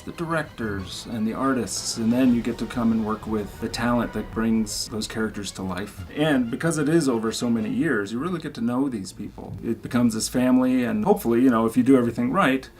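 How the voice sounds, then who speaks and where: A man's voice: mid-range voice, low-quality recording. A man talking in a promotional film interview, explaining his work on the production.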